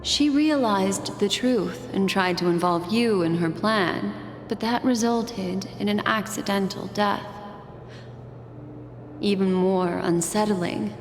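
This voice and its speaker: An actress playing a calm, mysterious storyteller in a game cutscene, speaking soothingly and deliberately, straight to the listener. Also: female voice, medium pitch. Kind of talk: scripted game cutscene narration. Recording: echoey reverb with music behind. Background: music.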